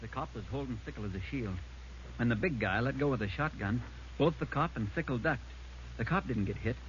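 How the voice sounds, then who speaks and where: An actor as an earnest eyewitness, dull old recording. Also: male voice, mid-range voice, a little hiss or hum, natural voice. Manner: plainspoken, earnest. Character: earnest male bar worker giving police an eyewitness account. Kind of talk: 1950s radio drama, conversational witness narration.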